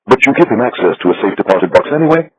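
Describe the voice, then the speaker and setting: A man voicing a calm, determined intelligence officer who speaks in a quiet, firm, persuasive way. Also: on the low side, racing, husky, muffled recording, voice pushed up. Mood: insistent.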